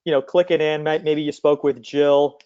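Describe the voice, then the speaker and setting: A man's voice: on the high side, level tone, normal speed, dark tone. A man speaking conversationally as an interview guest, explaining things over slightly muffled call audio. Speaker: guest, company CEO and former recruiter.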